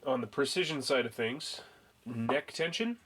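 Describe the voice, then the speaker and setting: A man's voice: mid-range voice, expressive, a little slow, fairly bright tone. Congested young man rambling at a workbench. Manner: rambling, many fillers and restarts. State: congested from a cold. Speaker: home reloading hobbyist. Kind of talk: casual workbench tutorial. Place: garage workbench, indoors.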